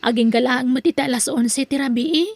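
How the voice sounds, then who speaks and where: Woman playing a patient, weary young Ilocano-accented daughter, high-pitched. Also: a little fast, a little mellow, no room sound. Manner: patient, apologetic. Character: tired young woman working in the city to support family.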